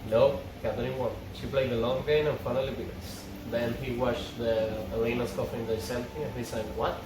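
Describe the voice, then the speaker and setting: A man's voice: medium pitch, little pitch movement, fairly quick, background noise. Man reading aloud in an echoey, distant recording. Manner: informal narration, stumbling over written words. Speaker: reader of stage directions and dialogue at a script table read. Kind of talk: casual script read-through with side comments. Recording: very echoey, distant mic, background music. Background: music.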